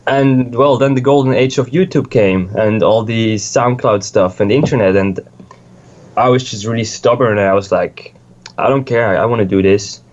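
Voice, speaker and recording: A man's voice: medium pitch, normal speed, darkish tone, dull audio. A young man from Switzerland, a music producer, chatting casually in an interview, rambling and swearing freely with lots of restarts.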